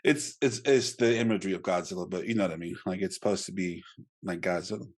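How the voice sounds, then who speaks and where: A man co-hosting a podcast in casual chat, playful and expressive, his voice congested from allergies. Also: fast, breathy edge. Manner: playful, expressive, jumps into high mock outrage. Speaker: podcast co-host.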